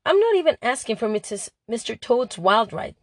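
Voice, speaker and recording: A woman's voice: fairly high pitch, sing-song, moderate pace, no room sound, clean studio sound. Woman reading as a wry teenage princess diarist. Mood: sarcastic, nervous. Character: sarcastic, anxious teenage princess writing her diary. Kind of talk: amateur audiobook reading of a first-person diary.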